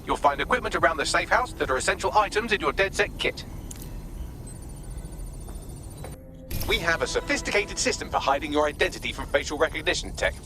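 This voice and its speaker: A man's voice: fairly high pitch, lively intonation, fast, hardly any pauses, over music, lightly acted. A man with a British accent playing a sarcastic, smug artificial intelligence assistant in a video game, with droll, pompous, articulate delivery. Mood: smug, teasing. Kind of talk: scripted video game voice acting.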